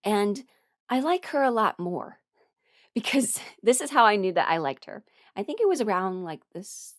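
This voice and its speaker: A woman's voice: mid-range voice, sing-song, dry recording. Woman rambling casually, close dry sound. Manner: informal, halting and self-correcting, chuckles while talking, mimics others in dialogue. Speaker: host, voice performer giving a personal ramble. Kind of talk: casual personal ramble, confiding advice.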